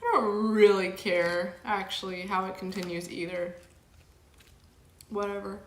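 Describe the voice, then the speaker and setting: A woman's voice: medium pitch, fairly even intonation, unhurried, reverberant. A young woman hosting a casual livestream, reading chat aloud and rambling with lots of fillers. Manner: rambling, filler-heavy, frequent "like". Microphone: camera mic.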